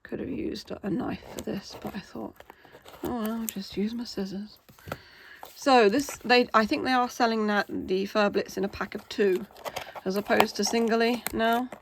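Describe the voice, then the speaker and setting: A woman, hoarse from a cold, chatting casually as she unboxes toys. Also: medium pitch, fairly even intonation, normal speed, a little hiss or hum. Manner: chatty, with frequent "um" fillers. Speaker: toy unboxing channel host.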